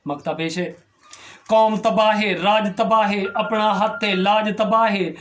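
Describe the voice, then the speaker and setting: A man's voice: high-pitched, steady tone, slow pace, a bit bright, slight background noise. A man with a Saraiki accent reciting satirical poetry in a rhythmic, declamatory way, as a poet performing verse. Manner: declamatory verse delivery with a recurring falling refrain.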